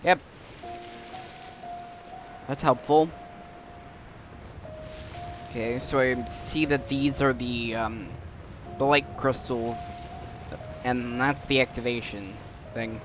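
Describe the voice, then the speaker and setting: A man's voice: mid-range voice, fairly even intonation, takes it slowly, long pauses. Man narrating gameplay over music. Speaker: gaming video host. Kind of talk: casual gameplay commentary. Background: game music.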